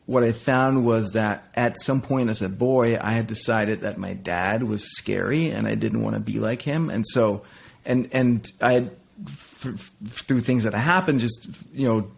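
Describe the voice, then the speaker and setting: Gravelly, husky-voiced man, candid and halting. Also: fairly low pitch. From Denmark. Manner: halting, many restarts and fillers, candid. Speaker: interview guest. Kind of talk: reflective personal conversation.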